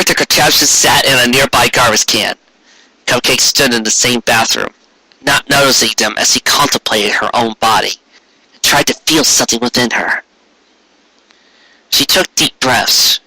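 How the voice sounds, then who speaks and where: A man reading a story aloud in an expressive, theatrical way, lingering over lists of words. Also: medium pitch, no room sound, low-quality recording. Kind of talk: expressive scripted narration with occasional asides.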